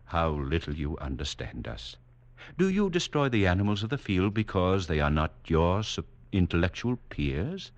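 An actor playing a serenely superior mutant doctor, lecturing in a lofty, condescending 1940s radio manner. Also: male voice, low-pitched, sing-song, dull audio. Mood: assured, patronizing. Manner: condescending, lofty, measured, didactic.

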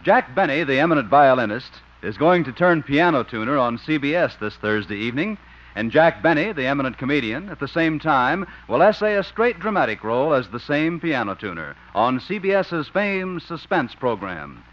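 Animated male vintage radio announcer. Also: a little high, moderate pace. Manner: formal, polished. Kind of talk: 1950s radio announcing, scripted. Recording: muffled old radio recording.

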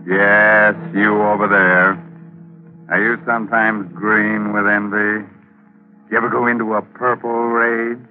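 An actor playing a sardonic, mocking host of an old radio mystery show. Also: male voice, lowish voice, flat delivery, soft background music, lightly acted. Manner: mocking, drawn-out delivery. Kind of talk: 1940s radio acting. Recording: old tinny radio broadcast.

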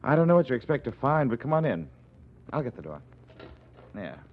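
An actor playing an affable, slightly wary theater producer, chatty and wry, in a 1940s radio drama. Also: male voice, mid-range voice, big pitch swings, brisk, natural voice. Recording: echoing, muffled 1940s radio recording with hiss.

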